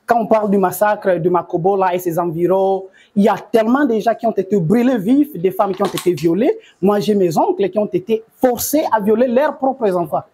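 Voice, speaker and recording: A woman's voice: medium pitch, brisk, hardly any pauses, mellow, breathy edge. A woman with a Congolese accent giving first-person testimony as a victim. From South Kivu, DR Congo.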